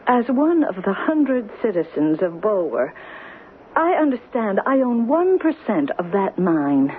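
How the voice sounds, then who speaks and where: Bitter, stubborn woman, radio drama. Wounded, emphatic delivery with dramatic pitch swings, faintly noisy vintage recording. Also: very animated, measured pace, phone-quality sound. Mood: wary, hurt. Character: lonely, bitter, stubborn small-town woman clinging to home. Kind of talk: old-time radio drama acting.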